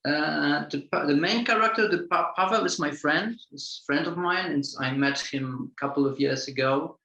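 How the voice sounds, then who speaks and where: Man, halting, echoey room. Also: on the high side, sharp tone, highs cut off. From Poland. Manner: halting, many repeated words and restarts. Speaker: film director. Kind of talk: festival Q&A answer. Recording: roomy echo.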